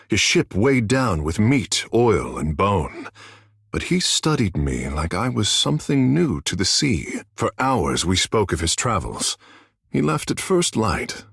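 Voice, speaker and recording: Vain godlike fish-man, fantasy game hero, swooping expressive pitch, husky, seductive and boastful storyteller, close dry recording. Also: dry recording, heavily acted. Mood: wistful storytelling. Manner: theatrical, boastful, languid storyteller. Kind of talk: fantasy video game character dialogue.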